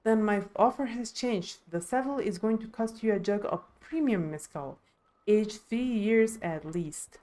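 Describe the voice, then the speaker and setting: A woman's voice: mid-range voice, measured pace, warm tone, natural voice. A woman voicing a proud, hard-bargaining saddle merchant in a fantasy game, speaking in a firm, businesslike way. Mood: firm, haggling.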